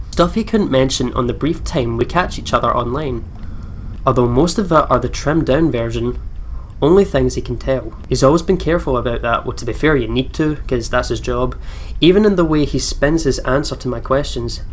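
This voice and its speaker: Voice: mid-range voice, a little hiss or hum. A let's play host reading game text aloud with casual commentary, over game music.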